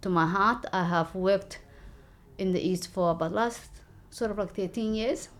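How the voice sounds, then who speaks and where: A woman, telling her story in an interview with an earnest, halting manner and many fillers. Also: mid-range voice. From Somalia. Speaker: local election candidate.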